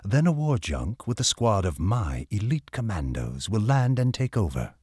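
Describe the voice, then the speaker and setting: An actor playing a formal, calculating lord and military commander in a video game, with a husky voice and measured, aristocratic phrasing. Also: male voice, on the low side, sing-song, darkish tone, dry recording, studio quality. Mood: smooth, scheming. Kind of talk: video game cutscene acting.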